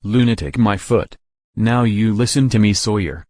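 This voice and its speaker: A man playing a gruff, short-tempered department store owner in a radio drama. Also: lowish voice, moderate pace, darkish tone, no echo, studio quality, close to an everyday voice. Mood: angry, commanding. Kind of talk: old radio drama acting.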